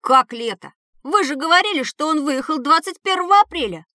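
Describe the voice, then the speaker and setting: Casting: curious child who questions everything; high, sharp voice, dry educational audio drama. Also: female voice, animated, fairly quick, no room sound. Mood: curious, puzzled. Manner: inquisitive, surprised.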